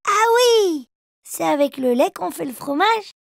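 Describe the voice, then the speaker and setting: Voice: very high voice, lively intonation, unhurried, vocal fry, background noise, heavily acted. A performer voicing an eager, playful little boy bear cub in a children's cartoon, with a childlike singsong manner. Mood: curious. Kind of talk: children's cartoon dialogue and rhymes. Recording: music behind the voice.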